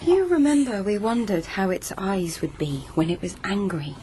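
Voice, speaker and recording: A woman's voice: medium pitch, big pitch swings, moderate pace, heavy background noise, close to an everyday voice. Eerie, entranced actress as unhinged noblewoman. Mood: dazed, eerie. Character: unhinged royal lady, eerily entranced, murderous. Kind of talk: radio drama acting.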